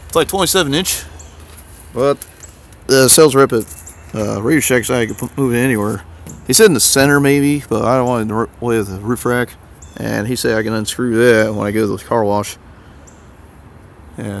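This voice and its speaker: A man's voice: mid-range voice, normal speed, creaky, long pauses, a little hiss or hum. A man giving a casual, off-the-cuff show-and-tell, hesitant and thinking aloud, recorded on a handheld camera in and around a parked car. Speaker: vlogger. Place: in a parked car and just outside it.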